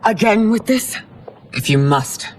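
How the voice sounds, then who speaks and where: A woman's voice: medium pitch, animated, slow pace, a little mellow, slight background noise. A woman voicing a proud, commanding empress in a video game, speaking formally and scolding. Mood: stern. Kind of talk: video game cutscene acting. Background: game music.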